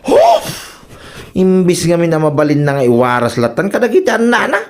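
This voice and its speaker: An actor playing an excitable, scheming husband in a radio comedy drama, exclaiming in an Ilocano accent. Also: male voice, fairly high pitch, normal speed. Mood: defensive, scheming. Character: excitable husband scheming to father a son for inheritance.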